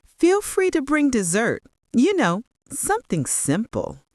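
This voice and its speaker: A woman's voice: fairly low pitch, wildly swooping pitch, unhurried, a little mellow, breathy edge, dry recording, studio quality, exaggerated voice. A woman voicing a cheerful, smug younger daughter-in-law, gushing and oblivious, in a dramatic story. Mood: smugly sweet.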